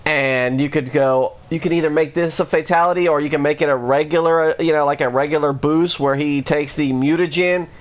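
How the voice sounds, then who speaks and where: A man with a somewhat high voice talks casually for his own channel. He rambles and restarts his sentences, leaning on fillers as he thinks out loud. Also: fairly even intonation, fairly quick, few pauses. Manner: rambling, many restarts and "you know" fillers. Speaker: gaming vlogger. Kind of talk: casual rambling opinion talk.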